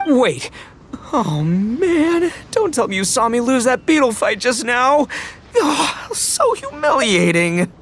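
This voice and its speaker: A brash young male gang leader from an anime game, full of swagger and big-hearted bravado. His delivery is slangy and dramatic, leaping between highs and lows. A faint rumble sits behind the voice. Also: wildly swooping pitch, slow pace, a bit bright, full character voice. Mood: surprised, sheepish.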